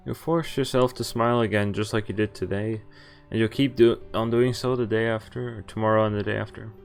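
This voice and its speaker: A man's voice: fairly low pitch, fairly even intonation, fairly quick, vocal fry, faint music behind. A man streaming a visual novel, reading its narration aloud and breaking off for wry comments, swearing and laughing.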